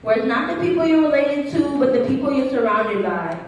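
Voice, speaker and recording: A woman's voice: high voice, a little fast, darkish tone. A young woman performing slam poetry as a student, with an earnest, rhythmic, rehearsed delivery. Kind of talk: slam poetry recitation with acted student dialogue. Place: large echoey room at a gala event. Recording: very echoey hall, distant mic.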